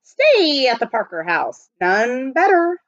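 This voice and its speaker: A woman voicing brash male street hawkers and auctioneers in a storybook, calling out sales pitches. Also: sing-song, takes it slowly, no room sound, highs cut off, exaggerated voice. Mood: brash, selling.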